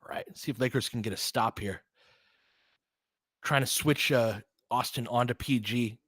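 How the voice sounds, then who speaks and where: A man's voice: medium pitch, moderate pace, a bit bright, slightly rough, no room sound. A man hosting casual live basketball commentary and analysis, thinking aloud in a conversational way. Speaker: host, fan play-by-play commentator.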